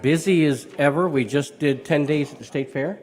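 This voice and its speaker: A man with a somewhat raised voice, hoarse and a little rough from a summer cold. He talks in a friendly, chatty way as a guest, laughing easily between explanations. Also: on the high side, moderate pace, a little mellow. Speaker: guest from a local historical society. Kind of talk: casual radio interview.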